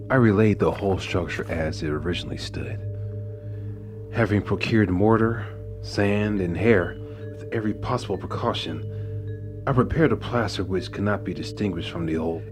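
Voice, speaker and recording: A man's voice: deep voice, close to an everyday voice. A man playing a tormented, brooding murderer in a theatrical Gothic horror monologue. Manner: theatrical, swings between breathy whispers and furious outbursts. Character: tormented, brooding murderer confessing with growing madness.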